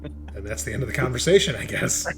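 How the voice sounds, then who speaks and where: A man's voice: mid-range voice, animated, fast, crowd noise. A man narrating a tabletop roleplaying game as game master, improvising in a casual, conversational way. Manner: casual, conversational, occasional swearing.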